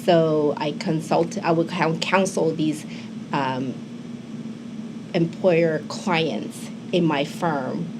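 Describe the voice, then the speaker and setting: A woman's voice: low-pitched, fairly even intonation, takes it slowly. A woman in her fifties, born in Hong Kong, chatting as an interview guest with many fillers and restarts. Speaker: immigration attorney, guest. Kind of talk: casual interview talk. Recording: local TV studio with noisy background.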